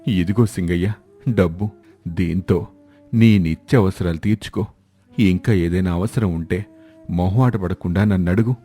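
A man playing a sober, well-meaning village friend who advises in a measured way in a moral story. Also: low voice, dark tone, faint music behind. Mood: kindly. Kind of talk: moral story character acting.

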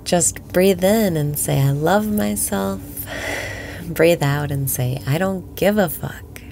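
Woman, meditation guide, slow pace, gravelly, whispering, soothing. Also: on the low side, mellow, slight background noise.

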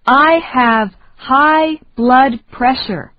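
A woman reading short phrases slowly and deliberately for learners to repeat. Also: sits high, dry recording, dull audio. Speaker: English lesson reader.

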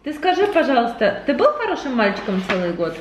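A woman in her thirties with a fairly high voice talks in a casual vlog style. She is playful and affectionate, like a mother with small children. The camera audio carries clear noise and clicks. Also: big pitch swings, fairly quick. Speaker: vlogger and mother. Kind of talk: casual home vlog chat. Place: shop, then a large living room at home.

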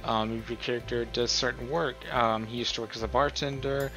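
Casting: male tutorial host, bright forward voice, informal rambling delivery, faint music behind. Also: medium pitch, steady tone, fairly quick. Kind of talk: casual screen-recorded tutorial talk. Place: voice-over on a screen recording.

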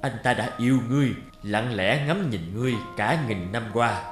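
A man voicing a wise old deity in an animated fairy tale, solemn, measured and lecturing, stern yet compassionate. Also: mid-range voice, lightly acted. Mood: wise, admonishing. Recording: roomy echo over guitar music.

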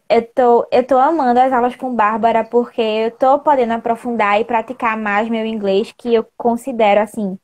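High-voiced young northeastern Brazilian woman. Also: a little mellow. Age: 20s. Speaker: guest, language student. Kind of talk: casual explanatory chat. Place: indoor room at home. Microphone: wired earphone mic on a video call.

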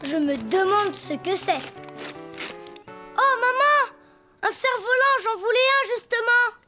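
An actor playing an excitable, imaginative little boy donkey in a preschool cartoon, childlike and eager. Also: very high voice, takes it slowly, music in the background, exaggerated voice. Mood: delighted.

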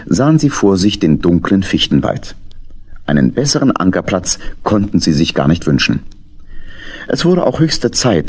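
A man's voice: sits low, darkish tone, slightly rough. A man narrating a children's audio drama as a scripted storyteller.

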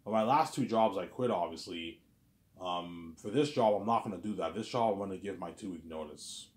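A man's voice: deep voice, moderate pace, darkish tone. Rambling man in his thirties, echoey room. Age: 30s. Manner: rambling, repetitive, stumbles over words, filler-heavy. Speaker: vlogger. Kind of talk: casual rambling monologue.

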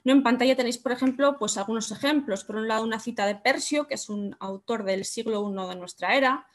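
High-voiced young woman giving an online talk. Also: fairly quick. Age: young adult. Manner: conversational, asks rhetorical questions. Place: home room, webcam.

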